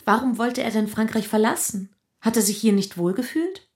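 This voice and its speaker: A curious female confidante played by an actress in a naturalistic radio drama. Her voice sits a little high, and she questions in an intimate, inquisitive way. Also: animated, normal speed, a little mellow, lightly acted. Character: curious, probing woman, a close confidante. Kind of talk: naturalistic German radio play acting.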